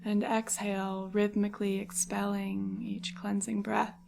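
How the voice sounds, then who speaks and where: A woman guides a meditation in a soft, soothing, unhurried voice. Her medium-pitched delivery stays very even, with some creak and breathy endings to her phrases. Clear ambient singing bowl tones sit behind her. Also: noisy background. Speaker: yoga nidra meditation guide.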